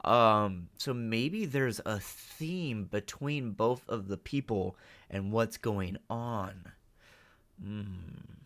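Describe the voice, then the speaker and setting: A man giving casual reaction commentary, thinking aloud in a halting, tentative, musing way. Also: lowish voice, fairly bright tone, no room sound. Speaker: host of a reaction channel.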